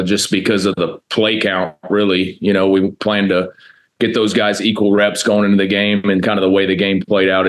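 American guy, football coach at a presser, deepish voice, pretty monotone, measured n matter of fact. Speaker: college football offensive coordinator. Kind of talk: press conference answers. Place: indoor press room, seated at a table before a backdrop.

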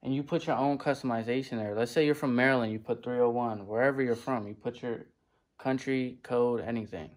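A man in his twenties vlogging casually to a phone at arm's length while he works in a basement print shop, talking in a hurried, chatty way. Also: medium pitch, fairly even intonation. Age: 20s. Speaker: screen printing business owner. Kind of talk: casual vlog to camera.

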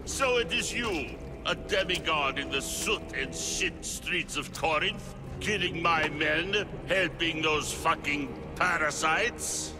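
The Monger, a snarling gang boss. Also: male voice, a little high, slow pace, music in the background. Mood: taunting. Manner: snarling, profane taunts. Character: brutal foul-mouthed male gang boss, arrogant and violent. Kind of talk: video game cutscene acting. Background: game score.

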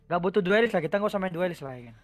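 Fast-talking man with a Medan accent. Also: high voice, lively intonation, faint music behind. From Medan, Indonesia. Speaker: gamer teammate. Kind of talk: casual gaming chat.

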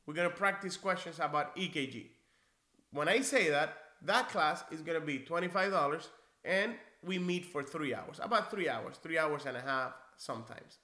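A man from Cuba in his forties with a bright, slightly raised voice, talking through viewer questions like a friendly, encouraging teacher. He sits at his desk in a small office, caught on a webcam mic. The room rings with echo. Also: a little high, moderate pace. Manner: friendly, encouraging, conversational with restarts. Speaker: NCLEX prep instructor. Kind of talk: live-stream Q&A, reading viewer comments. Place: small indoor office, seated in leather chair.

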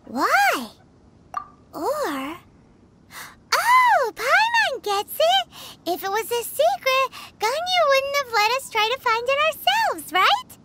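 A woman voicing a tiny, chatty, childlike fairy girl companion in a video game, squeaky and exclamatory. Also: wildly swooping pitch, takes it slowly, heavy background noise, full character voice. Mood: puzzled, eager. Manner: speaks of herself in the third person, exclamatory. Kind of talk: animated video game dialogue. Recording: game music loud behind the voice. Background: loud game music.

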